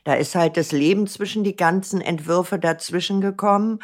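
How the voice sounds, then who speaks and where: A woman's voice: lowish voice, moderate pace. An older woman from Berlin, a guest in a podcast interview, answering in long flowing sentences with blunt, colloquial turns. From Berlin, Germany. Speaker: guest, entertainer.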